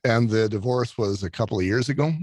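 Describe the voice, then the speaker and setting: Deep-voiced male podcast host, reflective and encouraging, close dry sound. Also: brisk, darkish tone, no echo. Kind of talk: interview hosting.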